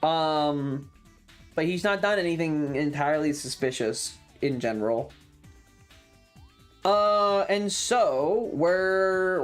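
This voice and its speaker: Animated, slow-paced storyteller with an androgynous voice. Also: mid-range voice. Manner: animated, frequent swearing. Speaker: streamer. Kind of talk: casual storytelling about a tabletop game. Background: background music.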